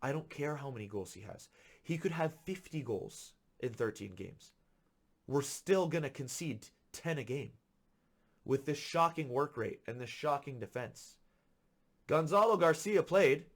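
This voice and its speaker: A man hosting a football podcast, ranting with frustration and repeating his points for emphasis, a little hoarse from being sick. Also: on the high side, lively intonation, moderate pace. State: slightly hoarse from illness. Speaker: podcast host. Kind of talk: solo football commentary rant.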